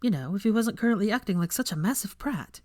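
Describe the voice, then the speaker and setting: A woman's voice: medium pitch, animated, fast talker, darkish tone, voice pushed up, lightly acted. A woman voicing a prickly, indignant young witch who teaches school, in audiobook dialogue. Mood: concerned. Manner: snappish, indignant.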